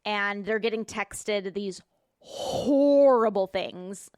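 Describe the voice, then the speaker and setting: A woman's voice: medium pitch, slow, no echo. A woman with a Midwestern accent co-hosting a podcast, summarizing things bluntly and swearing casually. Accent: Midwestern American. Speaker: podcast co-host. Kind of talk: casual chat.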